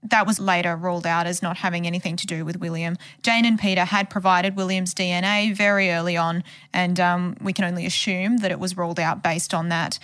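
A woman's voice: fairly low pitch, flat delivery, a little fast, few pauses, a bit bright, no echo. Australian woman discussing a case, dry studio sound. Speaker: podcast journalist explaining a case. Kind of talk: conversational true crime podcast discussion.

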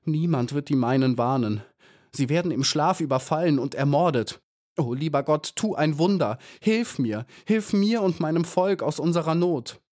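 A man's voice: mid-range voice, measured pace, few pauses, fairly bright tone, natural voice. A man voicing a small, terrified young female bee who pleads tearfully. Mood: despairing.

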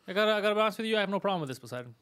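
A man whose first language is Greek, hosting a podcast in a casual, crude chat, loud, sarcastic and mocking, swearing often. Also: high-pitched, animated, rapid-fire, clean tone, no echo. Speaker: podcast host.

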